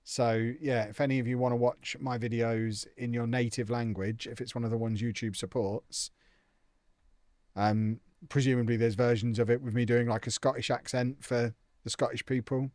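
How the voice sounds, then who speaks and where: A man's voice: mid-range voice, little pitch movement, moderate pace, a little mellow, no echo, studio quality. A young man chatting casually and jokily on a live stream, answering viewers' comments as he plays a football management game.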